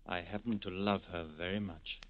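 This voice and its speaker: An actor playing an earnest, steadfast resistance leader, grave and dignified, in 1940s radio acting style. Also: male voice, sits low, fairly even intonation, normal speed. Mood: tender, restrained. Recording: dull 1940s radio broadcast recording.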